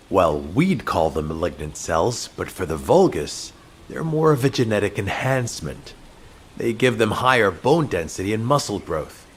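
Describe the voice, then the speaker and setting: An actor playing a tense, commanding military mission officer, earnest and authoritative in scripted game dialogue. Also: male voice, on the low side, slight background noise. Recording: music behind the voice.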